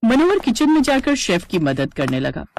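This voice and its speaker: A woman's voice: a little high, very animated, normal speed, a little mellow, slight background noise. Woman telling a story, scripted narration, voice moves up and down a lot.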